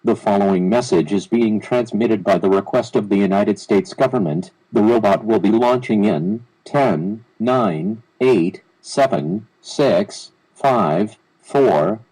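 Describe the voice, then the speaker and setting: A man's voice: on the low side, takes it slowly, hardly any pauses, dark tone, breathy edge, low-quality recording. A man voicing a government emergency alert announcer in a formal, stiff, synthetic-sounding delivery. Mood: impassive, official. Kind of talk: scripted emergency broadcast announcement.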